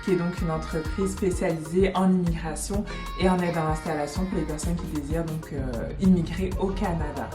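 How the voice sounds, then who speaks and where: French woman guest, low voice, relaxed explainer; echoey room with music behind. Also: a little fast. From France. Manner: relaxed, explanatory, many fillers. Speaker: immigration agency director, guest. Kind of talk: casual interview.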